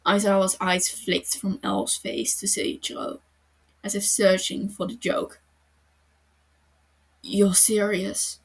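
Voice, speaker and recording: A woman reading a fan fiction story aloud as narrator, in an even, unhurried manner. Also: medium pitch, creaky, breathy edge. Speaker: podfic reader.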